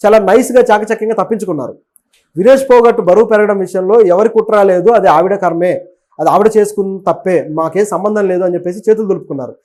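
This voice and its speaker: Fast, high-pitched man presenting news. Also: darkish tone. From Telugu states of southern India. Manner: explanatory, opinionated, mixes in English words. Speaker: web news presenter and commentator. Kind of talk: conversational sports and political news commentary.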